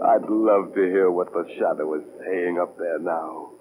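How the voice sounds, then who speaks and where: An actor playing a ruthless, arrogant pirate submarine commander in a theatrical 1940s radio drama style, gloating and barking orders. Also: male voice, low voice, heavily acted. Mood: muttering, gloating. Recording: noisy old radio recording.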